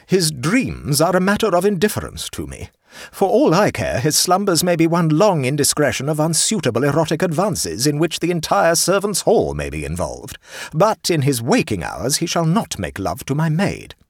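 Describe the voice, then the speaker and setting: A man's voice: on the high side, huge pitch swings, moderate pace, no room sound, clean studio sound, pitched above the performer's own voice, exaggerated voice. A man doing a stern imperious old aunt. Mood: indignant. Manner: cold, firm, magisterial. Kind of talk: audiobook character voice.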